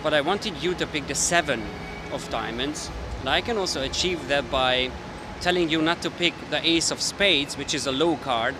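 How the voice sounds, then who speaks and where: A man with a Hungarian accent, a mentalist explaining his card tricks casually to the camera while thinking aloud. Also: on the high side. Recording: street noise with passing traffic.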